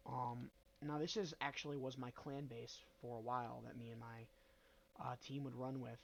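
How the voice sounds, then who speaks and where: A man's voice: medium pitch, flat delivery, moderate pace, vocal fry, a little hiss or hum. A young man with a bad cold hosting a casual game walkthrough, rambling and hesitant with many ums and self-corrections. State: nasal from a cold. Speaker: gaming channel host.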